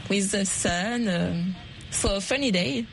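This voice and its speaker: Slow, hesitant woman, slight noise. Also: mid-range voice, creaky, rough recording. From Paris, France. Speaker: guest. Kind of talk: hesitant small talk in a language lesson.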